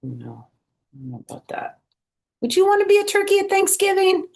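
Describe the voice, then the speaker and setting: A woman's voice: very high voice, wildly swooping pitch, brisk, gravelly, darkish tone. A woman reading a picture book aloud to children, explaining things and asking her young listeners questions as she goes. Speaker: storytime reader and former first grade teacher.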